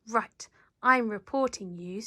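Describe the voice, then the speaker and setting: A woman voicing an indignant television man in a storybook, scolding with huffy, deliberate emphasis. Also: fairly high pitch, bright, forceful tone, dry recording, clean studio sound.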